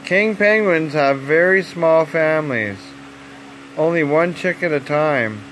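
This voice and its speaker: Male storyteller, slightly high voice, deliberate children's bedtime narration, faint background noise. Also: a bit bright, rough recording. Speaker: storyteller reading a nature story aloud.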